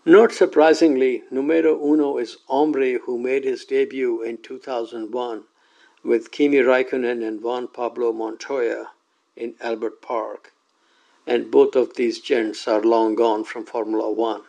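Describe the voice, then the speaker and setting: Man, gravelly voice, mid pitch, reads news items with upbeat lifts. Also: level tone, unhurried, a little mellow, rough recording. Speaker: segment presenter. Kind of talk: scripted news roundup.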